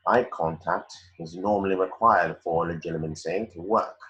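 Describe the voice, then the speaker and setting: A man acts out a disdainful wizard professor in a fantasy script read. His voice sits very low, and his speech is formal, curt and condescending, cold through and through. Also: moderate pace, fairly bright tone, highs cut off. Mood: stern lecturing.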